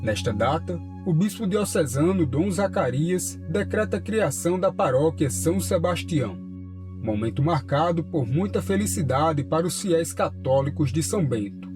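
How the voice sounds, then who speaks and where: A man's voice: a little high, animated. Male documentary narrator over guitar. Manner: measured, formal documentary delivery. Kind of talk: scripted narration. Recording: voice-over with guitar music behind.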